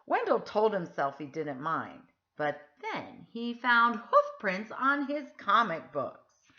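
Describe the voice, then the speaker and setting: A woman reading a picture book aloud to children in an expressive, emphatic storytelling style. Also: medium pitch, highs cut off. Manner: animated, deliberate, emphatic phrasing.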